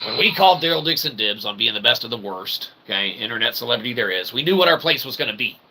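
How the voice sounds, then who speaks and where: A man's voice: medium pitch, lively intonation, fast talker, vocal fry, bright, forceful tone, slight background noise, rough recording. A man hosting a web show in a rambling, casual, self-deprecating monologue, folksy and full of tag questions.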